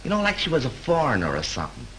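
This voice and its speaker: An actor playing a chatty young hotel bellhop who tells a story in breezy slang, in 1930s film acting. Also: male voice, mid-range voice, wildly swooping pitch, racing, a bit bright, rough recording. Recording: hissy 1930s film soundtrack.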